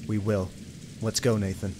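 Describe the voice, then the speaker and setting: A man's voice: lowish voice, flat delivery, moderate pace, noisy background, close to an everyday voice. A man playing a grim, determined police detective in an amateur radio play, earnest and hard-boiled in manner.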